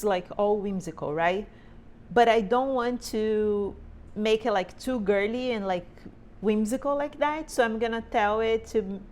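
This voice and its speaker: A woman's voice: mid-range voice, darkish tone. A woman in her forties from Brazil with a Brazilian Portuguese accent, speaking English as a second language, chatting informally to camera from her sewing studio and often checking in with "right?". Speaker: sewing teacher and small business owner. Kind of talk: casual tutorial talk to camera. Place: home sewing studio, indoors.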